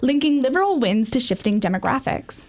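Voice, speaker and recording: A woman's voice: sits high, big pitch swings, fairly quick. A young woman co-hosting on radio, reading out messages and chatting in an informal, candid way. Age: young adult. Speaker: student co-host reading listener messages.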